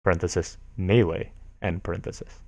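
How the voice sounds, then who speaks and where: A man's voice: sits low, fairly even intonation, brisk, a little mellow, no room sound. A man casually reading forum posts aloud on a stream, sarcastic and amused, often laughing at what he reads.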